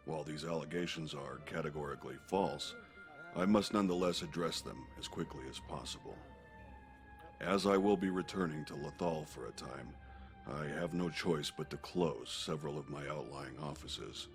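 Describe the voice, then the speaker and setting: A man playing an imperious, bitter senator in an audio comic, gravelly and stern, speaking formally. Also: very deep voice, normal speed. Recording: music behind the voice.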